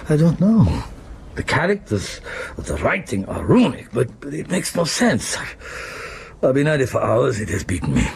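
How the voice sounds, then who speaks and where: A pompous older German professor in a radio play, with a creaky, swooping voice. He is irascible and scornful, his German accent blustering through every exclamation. There is faint noise behind him. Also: mid-range voice, wildly swooping pitch, moderate pace. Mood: absorbed, gruff. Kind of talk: radio drama acting. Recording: faint background noise, 1990s radio broadcast.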